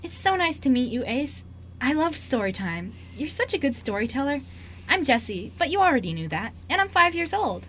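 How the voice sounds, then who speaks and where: A woman playing an eager, lonely five-year-old girl in an amateur radio drama, earnest and slightly halting. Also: high voice, very animated, fairly bright tone. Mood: delighted. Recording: noisy amateur radio recording.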